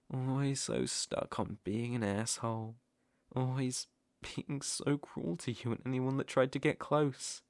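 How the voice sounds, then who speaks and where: A man acts out a possessive, lovesick stalker with a British accent in an intimate audio roleplay. His speech is slow and coaxing, with a creaky edge, often sinking toward a whisper. The sound is close and dry. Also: mid-range voice, steady tone, a little mellow, dry recording. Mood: tender, possessive. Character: obsessive, tender yandere stalker, softly possessive man. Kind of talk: intimate ASMR roleplay acting.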